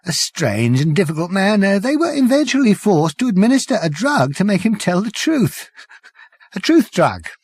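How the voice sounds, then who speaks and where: A man performs a raving, half-mad journalist from a spoken story, pitched well above his own voice. He whimpers and whispers hoarsely. His tearful speech jumps all over the place, and the reading sounds dry and close. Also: sing-song, moderate pace, no room sound, heavily acted. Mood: rambling, tearful. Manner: whimpering, jittery, breaks into weeping. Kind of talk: audiobook character voice.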